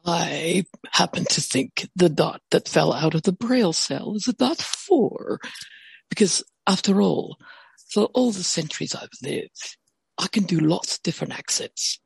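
A woman voicing a centuries-old, self-assured being in a theatrical, husky, croaky voice for an improvised audio drama. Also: on the low side, lively intonation, a little slow, no room sound, exaggerated voice, rough recording. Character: centuries-old being boasting of many accents.

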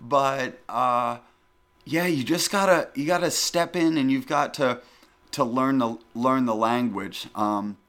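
Animated middle-aged man from the northern US. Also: mid-range voice, takes it slowly. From northern United States. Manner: animated, emphatic, repeats phrases. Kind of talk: casual conversational storytelling.

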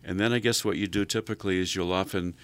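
A low-pitched man hosts an interview show. He sums up what his guest has said and follows it with leading questions. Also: fairly even intonation, fast talker, fairly bright tone, no room sound. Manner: restates and asks leading questions. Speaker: show host.

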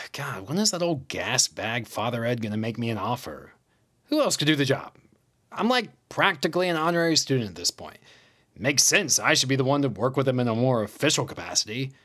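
A man playing a smug, easygoing high school teacher in a naturalistic audio drama, casual and self-satisfied. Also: sing-song, a little fast, sharp tone.

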